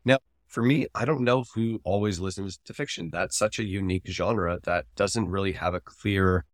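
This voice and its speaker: Low-voiced man explaining casually on a podcast. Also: normal speed, dry recording, clean studio sound. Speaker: podcast co-host.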